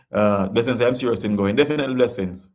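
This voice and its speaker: A man's voice: lowish voice, darkish tone. A Jamaican man hosting a casual live-stream chat, reading viewer comments and talking in an earnest, repetitive, preachy way.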